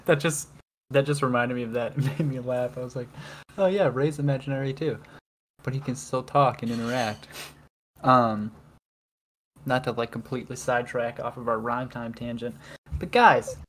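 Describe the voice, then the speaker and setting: A man's voice: mid-range voice, steady tone, moderate pace, long pauses, a bit bright, slight background noise. Giggly rambling male podcast host. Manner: giggly, rambling, often restarts with laughter. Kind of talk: casual chat.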